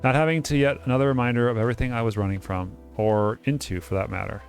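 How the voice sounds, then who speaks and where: A man's voice: medium pitch, steady tone, quick pace, faint music behind, lightly acted. A man voicing a weary, hot-tempered woman doctor, blunt and sardonic, in a visual novel game.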